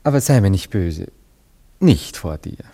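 Deep-voiced, anxious jealous lover. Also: male voice, sing-song, a little slow, warm tone, slightly rough, exaggerated voice. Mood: pleading, ashamed. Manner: hesitant, elaborate, earnest, theatrical phrasing. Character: jealous, wavering, anxious lover, given to brooding doubts. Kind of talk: German radio play acting of a stage comedy.

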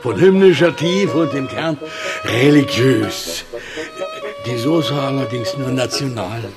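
A man's voice: medium pitch, lively intonation, slow pace, over music. A man playing an effusive, deferential antiquarian guide in a German radio play, ornate and savouring each word. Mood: pompous.

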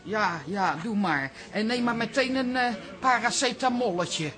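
Bossy, animated scolding woman. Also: on the high side, a little slow, music in the background. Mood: sceptical. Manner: brusque, scolding. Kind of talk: Dutch radio comedy drama acting.

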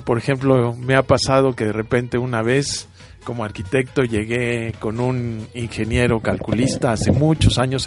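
A man with a Mexican accent hosting a radio show, talking informally to listeners and addressing them as friends. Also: mid-range voice. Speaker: radio host.

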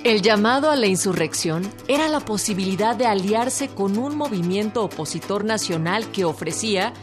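A woman's voice: mid-range voice, animated, normal speed. A woman reading scripted historical narration for a radio drama. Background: music.

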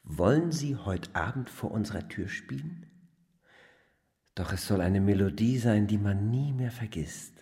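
An actor playing a polite, generous but insistent lame landlord, speaking formally in a radio play. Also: male voice, fairly low pitch, expressive, measured pace, mellow. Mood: coaxing. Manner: formal, courteous.

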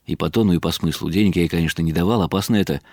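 A man's voice: low-pitched, rapid-fire, a little mellow, dry recording, studio quality. An actor playing a weary, wry middle-aged writer who narrates his own story in ironic inner monologue for a radio drama. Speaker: narrator and lead character.

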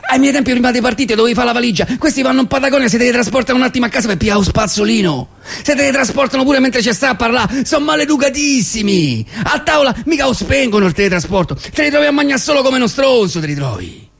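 A man's voice: very high-pitched, expressive, quick pace, creaky, a bit bright, voice pushed up, full character voice. A man performing a nostalgic, grumbling old grandfather in a comic monologue, with a Roman accent and a stammering, repetitive, sweary way of talking. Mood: indignant. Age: older. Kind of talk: comic monologue at a live reading.

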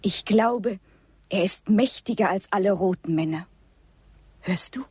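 An actress playing a dreamy, wistful young Comanche woman in a radio drama, with a very breathy, often half-whispered voice. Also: mid-range voice, a little slow, darkish tone, dry recording. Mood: dreamy longing.

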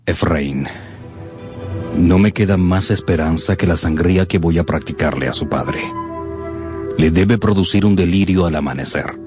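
A male actor as a grave family doctor in a radio drama. The voice is very deep, with formal, courteous, deliberate phrasing over clearly audible music. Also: close to an everyday voice. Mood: somber.

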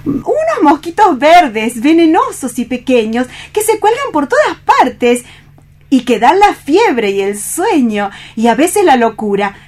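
A woman's voice: high-pitched, very animated, measured pace, darkish tone. A woman playing a passionate, domineering woman adventurer in a radio play reading, with a theatrical, coaxing manner. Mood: excited, reassuring.

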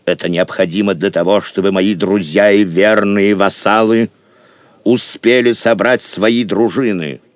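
Audio drama king, male actor: steadfast, noble, stately, slow delivery in a dry close recording. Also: on the low side, steady tone, dry recording, close to an everyday voice. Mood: solemn, reassuring. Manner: stately, commanding. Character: Richard the Lionheart, hidden king, noble and steadfast. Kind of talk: Russian audio drama acting.

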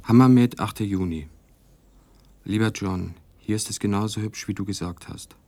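An actor playing a reserved, lonely American writer in a radio play, with a husky voice. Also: male voice, lowish voice, moderate pace, warm tone. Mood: wistful.

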